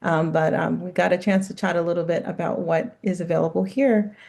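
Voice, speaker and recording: A woman from Guyana raised in Brooklyn, introducing herself as a guest on a video call, friendly with many pauses. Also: lowish voice, fairly even intonation, creaky, a little mellow. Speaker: guest, leasing specialist.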